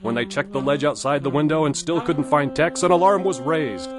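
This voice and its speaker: Guy doing a dramatic old serial style announcer, measured, a bit high, guitar music under it. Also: close to an everyday voice. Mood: suspenseful. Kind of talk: scripted radio serial recap.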